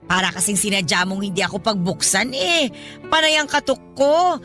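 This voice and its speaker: A woman's voice: medium pitch, normal speed, pushed and bright, close to an everyday voice. A woman playing a demanding, wheedling older godmother with a Filipino accent, chatty and nagging in a radio drama. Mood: aggrieved, guilt-tripping. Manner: chatty, nagging, theatrically pleading. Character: demanding, wheedling older godmother guilt-tripping her goddaughter. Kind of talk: Tagalog radio drama acting. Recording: music behind the voice.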